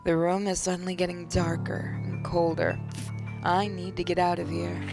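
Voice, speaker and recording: Creaky-voiced woman narrating a horror game. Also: on the low side, level tone, normal speed. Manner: theatrical narration, joking asides. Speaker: gaming video host. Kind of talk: dramatic read-aloud of horror game text with casual asides. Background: game music.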